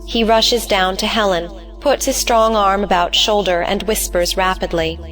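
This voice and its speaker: A woman's voice: medium pitch, steady tone, normal speed, a bit bright, soft background music, rough recording. A woman narrating an audio play, reading stage directions in a scripted style.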